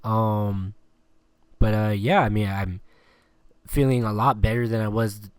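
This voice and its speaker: A man's voice: lowish voice, steady tone, a little slow, clear, resonant tone, no echo. A man hosting a casual sports podcast, rambling and laughing often, with a stuffy nose and a lingering cough. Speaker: podcast host. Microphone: remote call recording.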